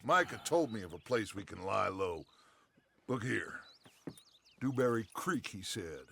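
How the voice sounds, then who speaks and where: An actor playing a theatrical, eloquent middle-aged outlaw gang leader with an American accent in a cinematic video game. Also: male voice, fairly low pitch, animated, a little slow, creaky, fairly bright tone, husky, exaggerated voice. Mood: weary, persuasive. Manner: grandiloquent, persuasive, orating.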